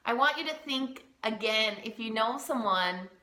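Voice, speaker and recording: A woman speaks earnestly as a language coach and presses her main points by repeating them. Her voice sits a little high and sounds bright and forceful. The room around her is echoey. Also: animated, moderate pace. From United States. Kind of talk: direct-to-camera teaching talk.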